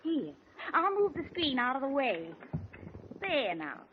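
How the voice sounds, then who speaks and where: A woman playing a brisk, soothing hospital nurse in a 1940s radio drama. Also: sits high, very animated. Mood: cheerful. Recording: tinny, hissy 1940s radio recording.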